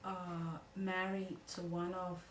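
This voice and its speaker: A woman from the Dominican Republic with a Dominican accent, recounting her family history in a halting way, often repeating herself as she searches for words. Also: fairly low pitch, little pitch movement, distant mic. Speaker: interviewee. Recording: echoey room, voice off-mic with faint noise.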